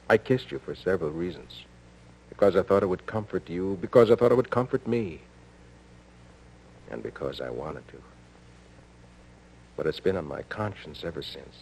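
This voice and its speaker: A man's voice: low-pitched, darkish tone. An American man acting an earnest, conscience-stricken husband in a 1950s radio soap. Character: earnest, conscience-stricken husband confessing to his sister-in-law. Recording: noisy 1950s radio aircheck with hum.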